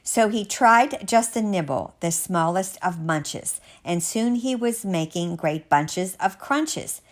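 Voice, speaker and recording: A woman reading a rhyming children's picture book aloud as a storyteller, expressively, with measured, dramatic, sing-song emphasis. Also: lowish voice, expressive.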